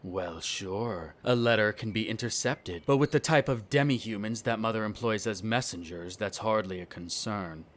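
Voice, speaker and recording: A man's voice: fairly low pitch, moderate pace, few pauses, close to an everyday voice. A man playing a smug, disdainful vampire noble in a scripted roleplay audio drama, speaking formally with sardonic condescension. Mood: suspicious, explaining.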